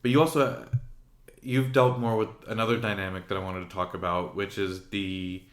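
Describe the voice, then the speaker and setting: A man hosting a podcast, telling candid stories from his own experience in a relaxed, reflective conversation. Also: fairly low pitch, moderate pace, echoey room. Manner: candid and reflective, retelling with quoted asides. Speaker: podcast host.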